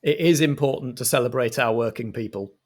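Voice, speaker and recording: Man narrating and directing, mid-pitched. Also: a little fast. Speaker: director and narrator of an improvised audio drama. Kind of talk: storytelling narration and brisk direction.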